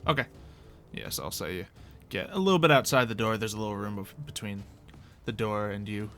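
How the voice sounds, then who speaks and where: A man's voice: on the low side, animated, brisk, fairly bright tone, faint music behind. A man acting as game master, narrating a tabletop roleplay session in a casual, jokey, informal way.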